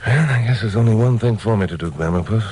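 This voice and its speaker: A man's voice: on the low side, quick pace, darkish tone, natural voice. An actor playing a tough, unflappable newsman in an old-time radio crime drama, assured, wry and matter-of-fact. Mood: wry, probing. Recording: noisy old radio recording.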